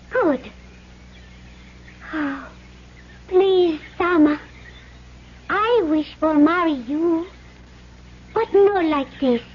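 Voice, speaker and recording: An actress playing a proud, gentle young Polynesian island woman who speaks halting broken English in a radio drama. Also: extremely high pitch, expressive, full character voice. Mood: pleading, dignified. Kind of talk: old radio drama acting. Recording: muffled old radio, noisy background.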